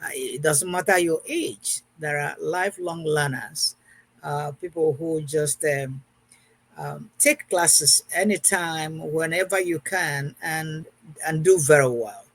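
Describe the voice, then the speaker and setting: Husky older woman teaching. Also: deep voice, slow pace. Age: 60s. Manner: repeats and rephrases her points. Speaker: math teacher. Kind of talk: informal recorded lesson.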